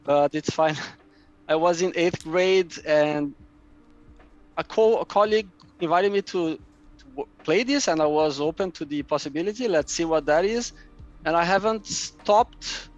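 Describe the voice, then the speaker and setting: A man's voice: on the high side, a little slow, lo-fi sound. A man in his thirties from Brazil with a Brazilian accent and Portuguese as his first language, a guest in a casual chat who often repeats words as he searches for them. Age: 30s. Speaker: guest, map publisher.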